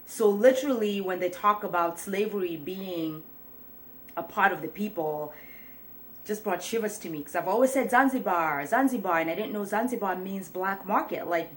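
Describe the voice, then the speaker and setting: Earnest low-voiced woman from Kenya. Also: rough recording. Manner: earnest, reflective, many ums and restarts. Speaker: vlogger. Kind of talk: casual vlog talk to camera.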